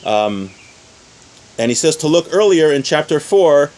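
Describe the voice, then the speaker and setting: A man's voice: on the high side, expressive, measured pace, darkish tone. A man giving an informal religious lesson as a teacher, explaining step by step and often rephrasing his points. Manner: explanatory, repeats and rephrases points with everyday examples. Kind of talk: informal religious lesson, reading source text then explaining.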